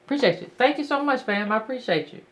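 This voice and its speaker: A woman hosts a call-in show, and she talks bluntly and with emphasis, hammering the same points again and again. Her voice sits a little high and moves widely up and down. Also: lively intonation, fast, slight background noise. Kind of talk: casual call-in talk.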